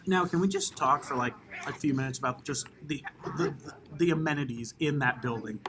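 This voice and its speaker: A man's voice: a little high, brisk, noisy background. A man co-hosting a podcast in casual comedic chat, joking and boastful.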